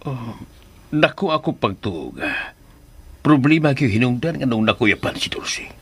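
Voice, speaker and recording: An actor with a Filipino accent playing a worried, suspicious confidant in a radio drama, pondering in a subdued way. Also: male voice, medium pitch, expressive, measured pace, slightly rough.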